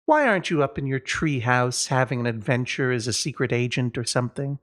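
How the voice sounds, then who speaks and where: Mother for a storybook, male reader. Reasonable, prim, gently condescending, lilting delivery. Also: mid-range voice, expressive, fairly quick, natural voice. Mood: patient.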